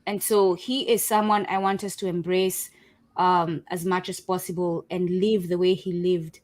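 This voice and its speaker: A woman from Kenya, a Kiswahili speaker, leads a panel discussion. Her delivery is earnest and preachy, and she pauses often on "um" and "uh". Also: on the low side, flat delivery, darkish tone. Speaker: host. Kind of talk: panel discussion on video call.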